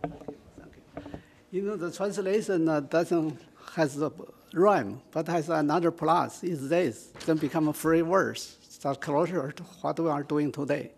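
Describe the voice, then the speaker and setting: Retired man with a Chinese accent, unhurried and halting, a bit higher-pitched, at a stand mic. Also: vocal fry, breathy edge. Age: older. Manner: halting, with many fillers and restarts. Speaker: poetry reader. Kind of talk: informal reading and explanation at a community event. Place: small community room with an audience. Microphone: stand microphone.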